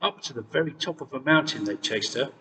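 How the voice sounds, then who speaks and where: A man reading a fairy tale aloud as scripted, expressive narration. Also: medium pitch, brisk. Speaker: storyteller. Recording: distant, reverberant hall sound with noise.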